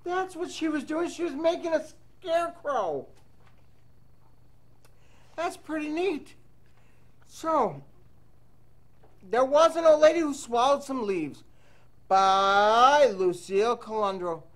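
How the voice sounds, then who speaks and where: A man reads a picture book aloud for children at an unhurried pace. His pitch moves up and down freely in a playful sing-song. Between lines he chats casually with his young listeners. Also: lively intonation, a bit bright. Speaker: children's storybook reader. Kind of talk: animated read-aloud to children with casual asides.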